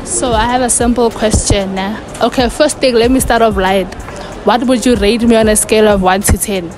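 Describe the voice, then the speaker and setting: A woman's voice: a little high, fairly even intonation, moderate pace. Teasing woman with phone mic. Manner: teasing, upbeat, pushes for answers. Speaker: street interviewer. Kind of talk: casual street interview. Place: outdoors at a school and inside a store. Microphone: phone held as handheld mic. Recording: beat music and crowd behind. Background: background music and crowd chatter.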